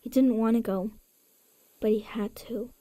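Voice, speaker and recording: A woman voicing a young boy in a game, speaking softly about his late father. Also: fairly high pitch, fairly even intonation, moderate pace, close to an everyday voice. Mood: grieving.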